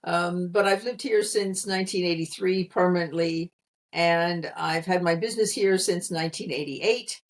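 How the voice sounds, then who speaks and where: A woman's voice: fairly low pitch, normal speed. A middle-aged woman from Pennsylvania with an American accent, a guest explaining her work in an articulate, friendly way over a video call. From Pennsylvania, USA. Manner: articulate, explanatory, light laughs. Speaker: guest, communication consultant. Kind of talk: conversational interview answer.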